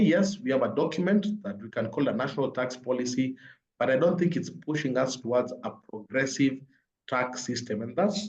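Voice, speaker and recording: A man's voice: medium pitch, darkish tone. A man with a Kenyan accent giving explanatory spoken analysis as a panelist, building his points step by step. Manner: explanatory, builds points in numbered lists and repetition. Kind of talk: spoken analysis at a virtual forum. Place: indoors, online call. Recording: roomy echo, dull lo-fi online call.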